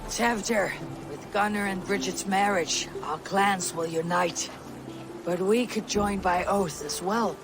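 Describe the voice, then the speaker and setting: A woman playing a bold, blunt Viking warrior chieftain with a measured, declamatory delivery in a dramatic game cutscene. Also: medium pitch, over music, rough recording. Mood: warm, boastful.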